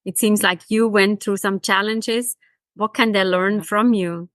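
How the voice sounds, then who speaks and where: A woman's voice: medium pitch, steady tone, a little slow, fairly bright tone, no room sound, clean studio sound. A woman hosting a podcast, introducing guests and asking interview questions.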